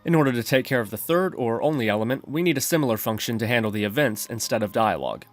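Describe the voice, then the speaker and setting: A man's voice: mid-range voice, expressive, fast talker. A man narrating a programming tutorial in a methodical, instructional way.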